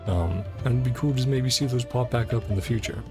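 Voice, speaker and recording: Very low-voiced woman streaming a game. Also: a little fast. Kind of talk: casual playthrough commentary, reading game dialogue aloud. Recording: music playing behind the voice.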